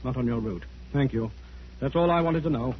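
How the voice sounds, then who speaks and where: A man acts out a businesslike detective inspector in wartime radio drama. He speaks in a clipped, matter-of-fact official manner at a medium pitch. The recording is muffled, with faint hiss. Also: a little fast, lightly acted. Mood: official, probing. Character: brisk, businesslike Scotland Yard police inspector. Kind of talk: 1940s radio thriller acting. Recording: muffled, hissy 1940s radio broadcast.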